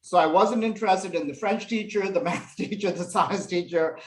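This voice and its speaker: A man's voice: sits high, normal speed. A man from Nepal, a fashion illustration professor, telling stories from his life as an interview guest, with lots of fillers. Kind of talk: relaxed storytelling interview answers.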